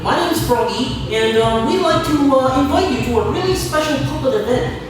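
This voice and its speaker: A man voicing a friendly, hesitant frog puppet who chats in a halting, muddled way for a children's show. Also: extremely high pitch, expressive, noisy background. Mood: friendly, flustered. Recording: very echoey, distant mic, faint music.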